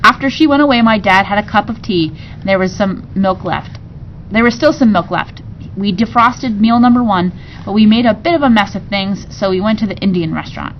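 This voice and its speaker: A woman reading a storybook aloud expressively, pausing to explain things to her listeners. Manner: expressive, acts out dialogue, pauses to explain pictures. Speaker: teacher reading aloud to students. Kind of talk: storybook read-aloud with asides. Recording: muffled recording with clear rumbling noise.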